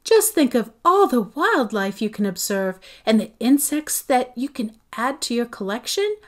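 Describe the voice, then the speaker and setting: A woman's voice: fairly high pitch, very animated, moderate pace. A woman reading aloud voices a cheerful, dreamy artist mother who coaxes her children with enthusiasm. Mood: coaxing. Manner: bright, coaxing, enthusiastic.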